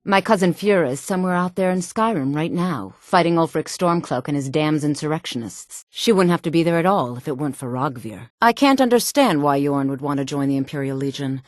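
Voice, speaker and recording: Low-voiced sultry, self-assured fantasy woman. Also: expressive, dry recording, studio quality. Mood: bitter, impatient. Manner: sultry, poised, measured, haughty. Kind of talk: video game character dialogue.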